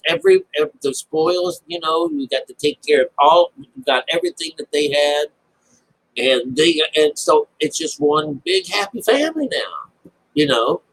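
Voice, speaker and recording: A man giving an informal spoken Bible commentary as an amateur teacher, rambling and halting, repeating phrases, with folksy, colloquial wording and the odd mild swear word. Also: mid-range voice, a bit bright, slight background noise, rough recording.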